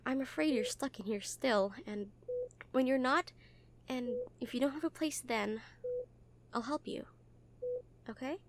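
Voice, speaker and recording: A woman's voice: a little high, close to an everyday voice. A woman playing a kind, earnest nurse in an audio roleplay, talking to a patient in a hesitant, reassuring, conversational way. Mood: earnest, supportive. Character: kind, earnest, gently teasing hospital nurse comforting a patient. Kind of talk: roleplay audio acting, soft comfort talk.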